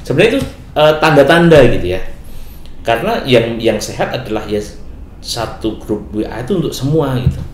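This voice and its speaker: A man's voice: mid-range voice, big pitch swings, a little fast. A man, a guest in a casual talk-show discussion, explaining things and often checking for agreement. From Bekasi, Indonesia. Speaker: guest speaker. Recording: echoey room with faint noise.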